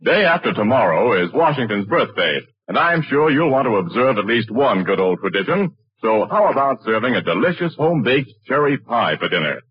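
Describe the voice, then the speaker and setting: A male announcer delivers a vintage radio advertisement. His pitch is animated and his voice slightly husky, on a dry, muffled old broadcast recording. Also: a little fast, no echo. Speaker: commercial announcer.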